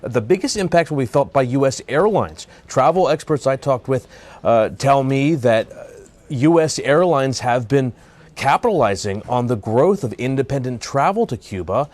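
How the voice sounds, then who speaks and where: A man's voice: mid-range voice, expressive, normal speed, a little mellow. A man giving a live broadcast news report as a correspondent, formal but often hesitating between phrases.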